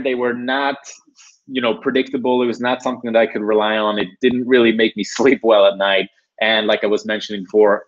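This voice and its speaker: A young man from Sweden hosting a live webinar, talking casually with lots of "you know" and "right". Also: mid-range voice. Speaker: webinar host. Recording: dull lo-fi webinar audio.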